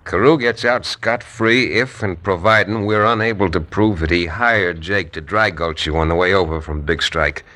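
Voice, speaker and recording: An actor playing a steady, dry-witted frontier lawyer who narrates a 1950s radio drama in a wry, measured way. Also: male voice, low voice. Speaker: narrator and lead. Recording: noisy old radio recording.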